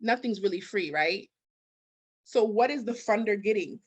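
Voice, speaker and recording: A woman's voice: medium pitch, lively intonation, moderate pace, breathy edge, muffled recording. Emphatic woman giving interview advice. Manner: emphatic, rhetorical questions, acts out dialogue. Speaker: interview guest, business consultant and grant expert.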